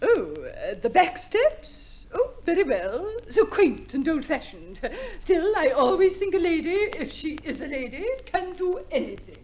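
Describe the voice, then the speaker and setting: A high, very lively voice of a fussy, genteel lady. She is coy and garrulous, with affected refinement, in the manner of a vintage radio comedy. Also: a little slow, few pauses. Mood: gushing, genteel. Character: genteel, fussy, chatty professional divorce co-respondent lady, prim. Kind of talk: old radio comedy play acting. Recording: vintage radio play recording.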